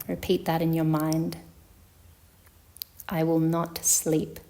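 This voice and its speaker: A woman leading a guided yoga nidra meditation as a teacher, speaking in a calm, measured, instructional manner with long pauses. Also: low-pitched.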